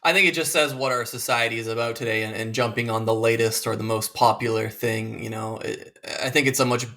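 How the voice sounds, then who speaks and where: A man's voice: mid-range voice, fairly even intonation, brisk, bright, forceful tone. A man from Serbia hosting a podcast, talking earnestly and thoughtfully with many fillers. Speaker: podcast host. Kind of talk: casual conversational interview.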